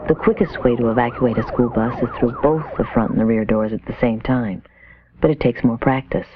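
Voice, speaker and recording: A man's voice: mid-range voice, steady tone, fairly quick, faint music behind. A man reading scripted instructional narration for a 1970s training film. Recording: tinny, noisy 1970s training film soundtrack.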